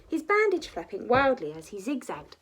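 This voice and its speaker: A woman reading a children's story expressively, often dropping to a hushed, breathy delivery. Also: a little high, huge pitch swings, moderate pace.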